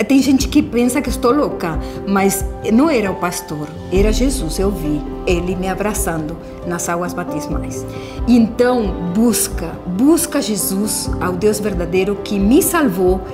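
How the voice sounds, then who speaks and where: A middle-aged woman from Argentina with an Argentine Spanish accent, whose native language is Spanish, telling her personal faith story in an earnest, halting way with restarts and repeated words. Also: medium pitch. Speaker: church member giving testimony. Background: soft background music.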